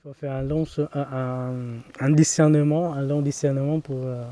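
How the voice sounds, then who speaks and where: Soft-spoken hesitant monk. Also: male voice, mid-range voice, level tone, normal speed, in a large hall. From Vietnam. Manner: hesitant, many fillers and restarts. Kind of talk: documentary interview.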